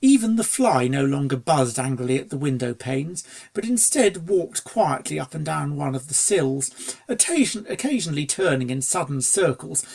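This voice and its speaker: Creaky-voiced storyteller reading fiction. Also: medium pitch, darkish tone. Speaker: story narrator. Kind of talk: scripted narration of fan fiction.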